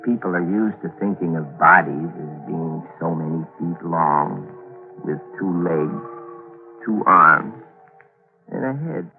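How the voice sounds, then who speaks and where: Low-voiced scheming killer on old radio. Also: male voice, lively intonation, slow, gravelly, narrow, tinny audio, soft background music, full character voice. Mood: smug, confiding. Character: cold, scheming murderer who narrates his own crime. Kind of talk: 1940s radio mystery acting. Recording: noisy, thin 1940s radio broadcast recording.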